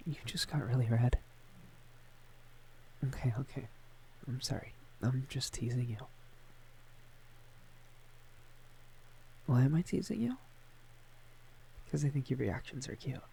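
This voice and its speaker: A man playing a shy, tender, awkward young man, a friend's older brother, in an intimate ASMR roleplay, speaking softly and hesitantly with a stammer. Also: mid-range voice, gravelly, slight background noise, close to an everyday voice, rough recording. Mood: teasing, tender. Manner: hesitant, stammering, gently teasing.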